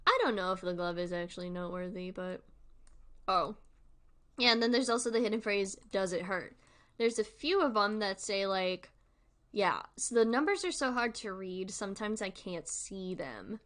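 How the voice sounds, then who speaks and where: A woman's voice: medium pitch, moderate pace, fairly bright tone. A woman hosting a livestream with casual, informal commentary, rambling and thinking aloud as she goes. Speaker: livestream host.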